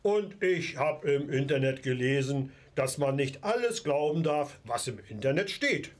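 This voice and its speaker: A man's voice: medium pitch, expressive, a little slow, close to an everyday voice. A male amateur playing a stubborn, skeptical grandfather in an audio drama. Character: skeptical old grandfather, stubborn meat-eater.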